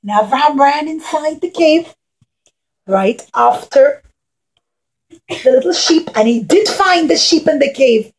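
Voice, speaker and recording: A woman's voice: high voice, expressive, unhurried, long pauses, low-quality recording. A woman storyteller presenting a puppet show for young children, speaking in simple short phrases and addressing them directly.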